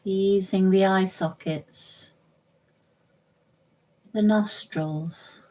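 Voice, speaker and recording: Very slow, gentle woman guiding meditation. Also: mid-range voice, steady tone, poor audio. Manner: gentle, measured, soothing, short phrases with long pauses. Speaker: yoga nidra instructor. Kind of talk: guided meditation, relaxation instruction.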